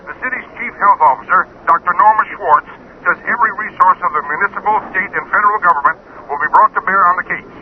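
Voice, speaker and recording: An actor playing a grave, urgent American newscaster giving a formal bulletin, heard through a radio set. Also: male voice, sits high, quick pace. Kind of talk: dramatised news bulletin. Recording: tinny phone-like radio, clear noise.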